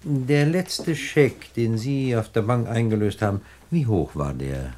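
An actor playing a fussy, courteous gentleman crook in a 1960s German radio play, with flowery, hesitant politeness. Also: medium pitch, animated, unhurried, natural voice. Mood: polite, insistent. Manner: flowery, over-polite, hesitant, repeats himself.

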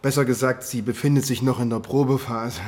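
A man's voice: mid-range voice, steady tone, brisk, darkish tone, slight background noise, close to an everyday voice. Casting: man as breezy, wry police detective, mid-range voice, natural radio-drama delivery, faint ambience. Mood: explanatory. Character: chatty, plain-spoken, wry male police detective.

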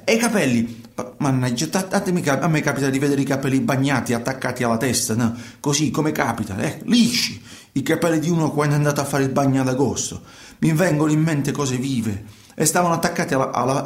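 Troubled male fisherman played by an actor, colloquial theatrical storyteller, medium pitch, roomy radio drama sound. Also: natural voice. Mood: agitated, horrified, then haunted. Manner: colloquial, halting, repeats words and phrases. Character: troubled working fisherman recounting life at sea.

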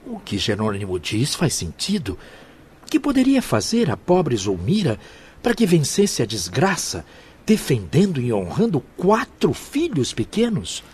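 An actor with a Brazilian accent playing a supportive, sympathetic spirit companion in a radio drama, formal and concerned. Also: male voice, on the high side, huge pitch swings, a little slow, darkish tone, slightly rough, exaggerated voice.

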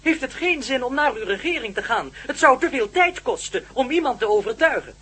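An actor playing a solemn, telepathic non-human man in a radio play, speaking formally and literally in a husky voice. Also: very high voice, lively intonation, pushed and bright, exaggerated voice. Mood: pondering. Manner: deliberate, formal, literal-minded. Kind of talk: Dutch radio play acting.

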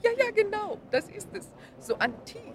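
High, excitable tourist. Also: female voice, normal speed. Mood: amused, gossipy. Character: flippant, excitable female tourist, amused and gossipy. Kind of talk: radio play dialogue.

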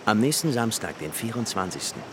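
Casting: bohemian journalist, low voice, lively intonation, noisy outdoor ambience. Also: male voice, fairly quick. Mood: conspiratorial. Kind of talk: German radio drama acting.

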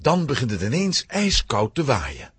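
A man with a husky voice narrating a children's audio drama as dramatic scripted storytelling. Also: mid-range voice, wildly swooping pitch, moderate pace, background noise.

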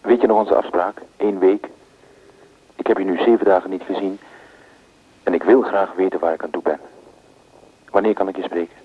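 A man's voice: deep voice, fairly even intonation, moderate pace, pauses often, husky. An actor playing an impatient man calling his lover on the phone, insistent and pressing. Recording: tinny telephone line.

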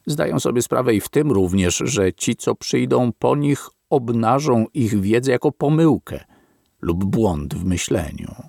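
A man reads in a slow, solemn, sermon-like cadence, his low voice gravelly and a little husky. His pitch rises and falls widely as he weighs each phrase. The recording is dry and close. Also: lively intonation, darkish tone, no room sound. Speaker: narrator. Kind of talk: solemn audiobook reading of a philosophical text.

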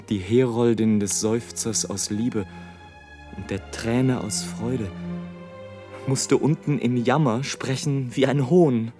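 An actor playing an anguished, visionary balloonist in a literary radio play, declaiming a solemn dramatic monologue. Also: male voice, medium pitch, lightly acted. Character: anguished, visionary balloonist narrating war below, bitter and rapturous.

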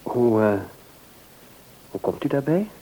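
An actor playing a courteous, hesitant music shop employee in a naturalistic radio play. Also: male voice, mid-range voice, very animated, dark tone. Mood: uneasy, hesitant. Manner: hesitant, halting, polite. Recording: hissy, noisy old radio play recording.

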